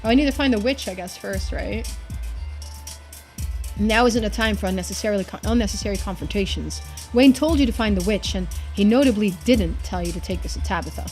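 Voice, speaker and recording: A woman's voice: mid-range voice, fairly quick, darkish tone, soft background music. A woman game streamer reading a visual novel aloud, switching into playful, chatty live commentary.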